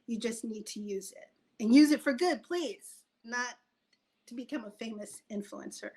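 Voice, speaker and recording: Woman, fairly high voice, writer guest talking earnestly and informally. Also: moderate pace, a little mellow. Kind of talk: casual, earnest interview talk.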